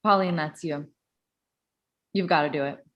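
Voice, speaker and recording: A woman's voice: low-pitched, a little slow, highs cut off. A woman playing an eccentric, blunt and flippant leftist in a live staged play reading. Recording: faint noise and clicks.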